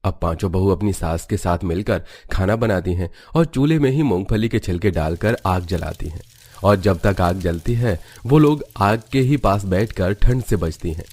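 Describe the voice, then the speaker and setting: A man's voice: deep voice, expressive, normal speed, a little mellow. A man narrating a scripted cartoon story.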